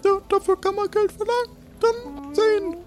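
A woman's voice: extremely high pitch, lively intonation, moderate pace, music in the background, pitched above the performer's own voice. A woman voicing a timid, obliging small-time trader in an improvised audio drama, hesitant but eager.

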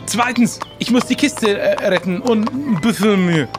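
A man's voice: high-pitched, a little slow, music in the background. A man playing along in an improvised adventure audio play, acting and thinking aloud in the first person. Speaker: player in an improvised pirate adventure.

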